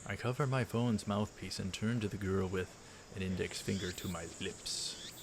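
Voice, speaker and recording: A man hosting a let's play, reading a visual novel aloud with casual asides in a halting, measured way. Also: low-pitched, noisy background.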